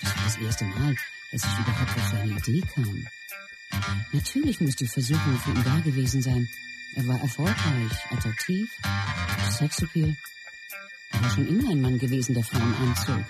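An actress playing a film star's loyal wife, looking back in a candid, confiding way in a radio play. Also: female voice, rumbling low, animated, moderate pace, poor audio. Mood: reflective, candid. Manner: reflective, confiding. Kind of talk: scripted reminiscence in a radio play. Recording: loud music under the voice.

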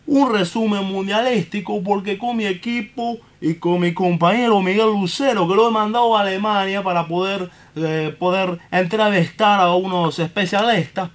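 A man plays an excitable Cuban host of a comic football radio show, pitched very high. He is bumbling and boozy, rambling along and repeating his phrases. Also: natural voice. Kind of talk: comic sports radio presenting.

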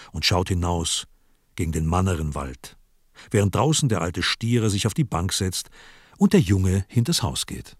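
A man's voice: low-pitched, dry recording, studio quality. A man acting as the grave narrator of a radio play, telling a mountain village tale in literary style.

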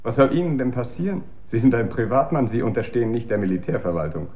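An actor playing a male military administration official in a radio drama, businesslike, insinuating and quietly menacing. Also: mid-range voice, fast talker, highs cut off, lightly acted. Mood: evasive, cool. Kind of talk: German radio drama acting.

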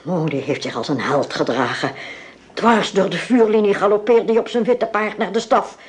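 An older, grand mother-in-law in a radio drama played by a woman. She speaks at a middling pitch, formal but agitated and breathless. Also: moderate pace, close to an everyday voice. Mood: shaken, awed. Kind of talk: Dutch radio play acting.

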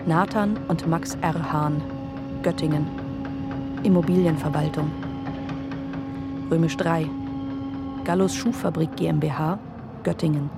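A woman announcing in a radio play, with formal, measured, matter-of-fact scripted delivery. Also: low voice, fairly even intonation, creaky, over loud music.

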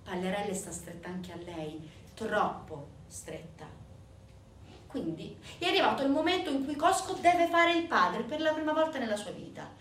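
Female stage storyteller, highish register, dramatic swings in volume, faint noise in the recording. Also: expressive, normal speed, echoey room, recorded from a distance. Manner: intense, emphatic, swinging from hushed to loud. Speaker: stage actress narrating a monologue.

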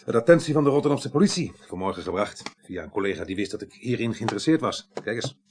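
An actor playing a businesslike, calmly sceptical police investigator in a radio drama, formal and measured in his questioning. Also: on the low side, lightly acted. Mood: brisk, sceptical. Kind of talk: 1980s Dutch radio drama acting.

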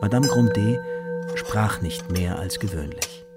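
A man's voice: on the low side, measured pace. A man narrating a literary radio drama in a scripted storytelling style, with music behind him. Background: music with ringing tones.

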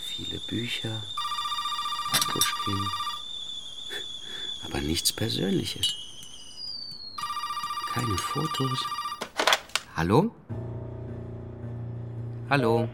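An actor playing a melancholy, hesitant lover in a naturalistic German radio drama, low-key and awkwardly tender. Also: male voice, very animated, very slow, gravelly, long pauses, very noisy, exaggerated voice. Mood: awkward, musing.

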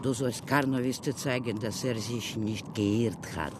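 A man's voice: mid-range voice, steady tone, measured pace, noisy background, close to an everyday voice. An actor playing a protective Apache mentor who speaks to a small boy in short, soothing, solemn phrases in a radio drama. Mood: reassuring, solemn.

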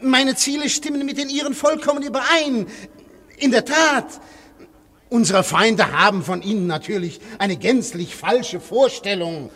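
Flustered high-voiced anarchist orator in a hall. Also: male voice, fairly bright tone, full character voice. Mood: evasive, uneasy. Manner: declamatory, halting when cornered. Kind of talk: German radio play acting. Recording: echoey hall with long reverb.

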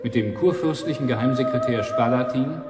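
An actor playing a theatrical chronicler who announces scenes in a declamatory, half-sung style. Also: male voice, medium pitch, a little fast, natural voice. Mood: solemn, declamatory. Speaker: narrator. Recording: long echoing reverb, loud music.

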